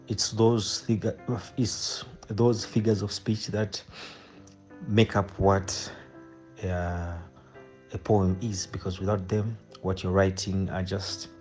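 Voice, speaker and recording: A young Zambian man with a fairly low voice and very unhurried pace. He answers interview questions conversationally, pausing and repeating himself as he thinks. Faint music is present. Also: darkish tone. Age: 20s. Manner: hesitant, repeats phrases as he thinks. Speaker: guest poet.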